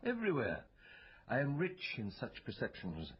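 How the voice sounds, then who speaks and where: Radio drama doctor, male: reflective, digressive, faintly pompous, expressive pitch, lo-fi sound. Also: measured pace, slightly rough, heavily acted. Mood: lofty, reflective. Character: educated, musing male doctor and lone amateur investigator. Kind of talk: naturalistic radio drama acting. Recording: dull lo-fi radio recording.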